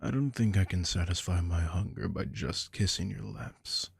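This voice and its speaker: A man voicing a predatory, dominant vampire lord in an ASMR audio roleplay, taunting slowly and often whispering close to the microphone. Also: heavily acted. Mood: hungry. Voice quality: deep, gravelly, breathy.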